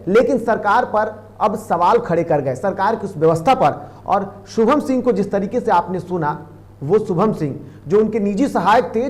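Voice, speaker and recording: Male news presenter, high register, emphatic and repetitive while commenting on a report. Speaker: news anchor.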